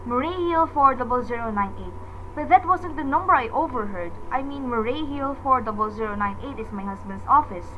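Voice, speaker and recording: An actress playing an anxious, demanding invalid wife in a radio drama, fretful, indignant and easily exasperated. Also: female voice, fairly high pitch, expressive, fairly quick, lo-fi sound, slight background noise, lightly acted. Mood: anxious, pleading.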